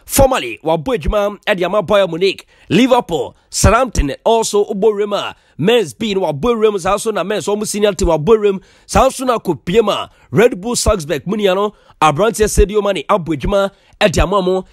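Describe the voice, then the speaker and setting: High-voiced Ghanaian sports newsman. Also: lively intonation, no echo, clean studio sound. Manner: fast mixing of Twi and English. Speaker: sports news presenter. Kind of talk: sports news voice-over.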